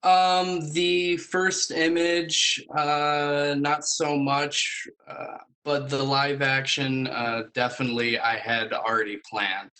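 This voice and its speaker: A young man giving a semi-formal presentation about his student project, speaking in a hesitant, measured way. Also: on the high side, level tone, pushed and bright, poor audio. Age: young adult.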